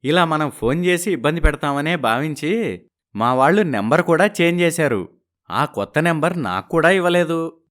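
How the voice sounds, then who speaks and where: An actor playing a smooth-talking, evasive young husband in a story, placating and persuasive, dropping in English words. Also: male voice, a little high, lively intonation, moderate pace, a bit bright. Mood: apologetic.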